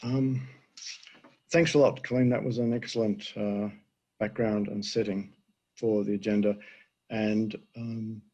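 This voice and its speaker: An older man, an emeritus professor, giving a conference presentation with a hoarse voice he is losing, halting with many fillers. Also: lowish voice, flat delivery, mellow, muffled recording. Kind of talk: conference presentation over video.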